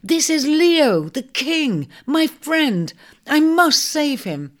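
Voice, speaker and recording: A woman's voice: fairly low pitch, expressive, slow pace. A woman voicing a loyal, earnest male ram with an indignant, determined manner in a storybook. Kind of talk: audiobook storytelling character voice.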